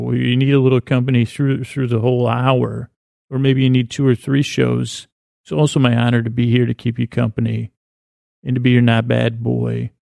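An American man with a creaky voice hosting a sleep podcast, rambling in a halting, reassuring way as he speaks straight to the listener. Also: mid-range voice, a little mellow, no room sound, studio quality. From Syracuse, New York, United States. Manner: meandering, halting, stumbling over words, reassuring. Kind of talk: rambling bedtime monologue, addressing the listener.

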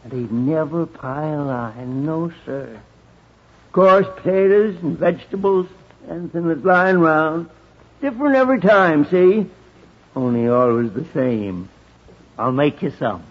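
Drowsy old printer, very husky, slow folksy rambling, fond of ale, old radio drama, dull sound. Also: male voice, a little high, lively intonation. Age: older. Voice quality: husky, creaky.

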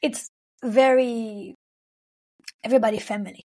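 A woman's voice: fairly high pitch, steady tone, unhurried. A young adult woman from Israel-Palestine, a singer speaking English as an in a casual interview, hunting for words with many fillers and laughs. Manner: warm, searching for words, frequent "like" and "you know", laughs often. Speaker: singer, guest.

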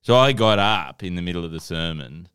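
A man's voice: sits low, expressive, fairly quick, a bit bright, smooth, dry recording, clean studio sound. A man telling a story on a podcast in a wry way, often acting out other people's lines. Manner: wry, acts out quoted voices. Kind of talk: casual storytelling chat.

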